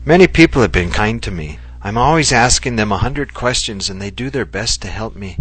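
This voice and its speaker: A man voicing a gentle, loving Japanese wife who speaks earnestly and reflectively. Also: fairly low pitch, expressive, brisk, slightly rough, poor audio. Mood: earnest, tender. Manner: earnest and heartfelt, softly reflective.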